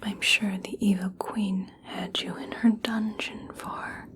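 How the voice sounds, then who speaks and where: A woman playing a gentle, caring young elf princess in a soothing, breathy ASMR roleplay, speaking deliberately with long pauses. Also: medium pitch, little pitch movement. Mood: reassuring. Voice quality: breathy whisper. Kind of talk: ASMR personal attention roleplay.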